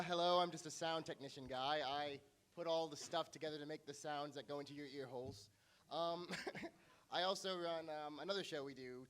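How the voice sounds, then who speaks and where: Male sound tech, fairly high, modest casual delivery, faint noise. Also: a bit bright. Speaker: sound technician. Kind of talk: casual self-introduction. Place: convention room, standing.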